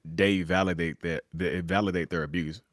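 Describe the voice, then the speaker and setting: A man hosting a podcast in a casual conversational interview, animated, often acting out quoted voices. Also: sits low, moderate pace, no room sound, clean studio sound. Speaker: podcast host.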